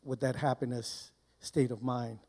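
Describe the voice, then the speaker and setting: An older man from Burma giving informal personal testimony to a live audience as a guest speaker, deliberately and in short, broken phrases. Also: mid-range voice, little pitch movement, mellow. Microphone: handheld microphone held close.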